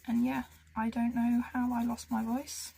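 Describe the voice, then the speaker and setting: A soft-spoken woman chatting casually as she colours, musing to herself and often dropping into a whisper. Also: fairly high pitch, level tone, unhurried, gravelly, slightly rough, rough recording. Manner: talks to herself as she works. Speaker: colouring channel host. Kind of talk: casual narration while colouring.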